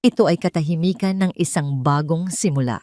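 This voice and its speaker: A woman narrates a dramatic first-person story. Her voice sits on the low side, and the recording is close and dry, with little room sound. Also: fairly quick, mellow, no echo.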